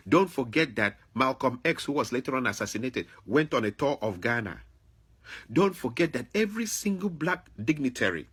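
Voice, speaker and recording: A man's voice: medium pitch, a bit bright, breathy edge. A man from Africa, delivering an opinionated, confrontational monologue to another person, repeating phrases for emphasis. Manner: confrontational, admonishing. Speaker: vlogger.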